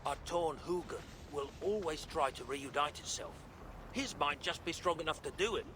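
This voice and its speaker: An actor playing a stubborn, eccentric old dwarf inventor in a video game, musing in folksy, rambling, colloquial speech. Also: male voice, a little high, animated, normal speed, background noise, close to an everyday voice. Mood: thoughtful, insistent. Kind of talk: dramatic video game acting.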